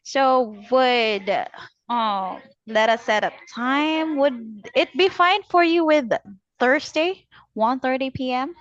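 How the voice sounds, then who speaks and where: A woman working as a telemarketing agent, politely delivering a scripted cold-call sales pitch and addressing the customer formally. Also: animated, takes it slowly, no echo, dull audio.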